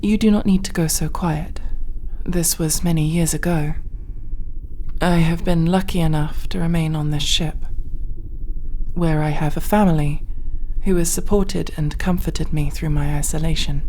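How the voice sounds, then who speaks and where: Reserved female alien played by a woman, sci-fi ASMR roleplay: mellow low voice, formal measured diction, soft delivery. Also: a little hiss or hum. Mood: gentle, formal.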